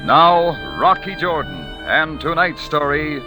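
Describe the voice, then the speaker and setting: A man announcing an old-time radio adventure show in a grand, measured, theatrical scripted style. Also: a little high, big pitch swings. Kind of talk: 1940s-50s dramatic scripted radio narration. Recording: old radio broadcast with music underneath.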